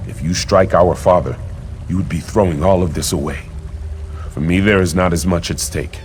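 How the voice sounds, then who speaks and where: An actor playing a dutiful adult prince in a game, speaking in solemn, formal lines. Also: male voice, deep voice, normal speed, slight background noise, natural voice. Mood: grave. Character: dutiful adult prince, grave and self-sacrificing. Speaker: game character.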